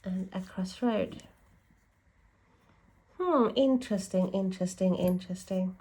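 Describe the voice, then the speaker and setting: Slow-talking congested woman reading tarot. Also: mid-range voice, dark tone, slight background noise, low-quality recording. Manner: rambling, self-correcting, musing aloud. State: congested from a lingering cold. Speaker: tarot reader.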